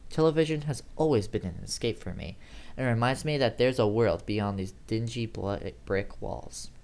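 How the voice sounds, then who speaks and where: A man's voice: medium pitch, clear, resonant tone. Man dramatically narrating a horror story. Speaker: amateur horror story reader.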